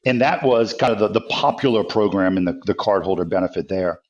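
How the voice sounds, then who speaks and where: Low-voiced executive. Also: male voice, fairly quick, a little mellow, highs cut off. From New Orleans area, US Gulf Coast. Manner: conversational, many fillers, says "right?". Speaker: guest, tech company executive. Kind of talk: interview answers.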